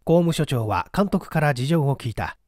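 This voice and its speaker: A man reads literary fiction as a dramatic narrator. His voice is mellow and middle-pitched, moving widely in intonation. It is recorded very dry. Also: expressive, normal speed, dry recording. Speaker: audiobook narrator, stage actor.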